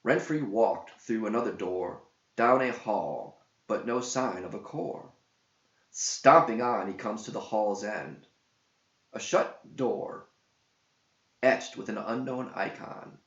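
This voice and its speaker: A man with a fairly low voice narrates an epic in verse. He takes his time, declaiming theatrically. The recording is dull, with a clear echo of the room around him. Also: slow pace, fairly bright tone. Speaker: narrator, author reading own verse epic. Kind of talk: dramatic scripted verse narration. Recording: dull roomy echoing home recording.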